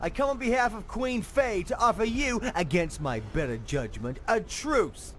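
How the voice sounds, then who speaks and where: A snooty royal envoy in a video game. The voice is high and lively in its swings, curt and openly disapproving. There is faint noise behind. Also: male voice, normal speed. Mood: grudging, urgent. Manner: curt, disdainful, formal. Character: haughty, disapproving envoy of a fantasy queen. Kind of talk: video game character acting.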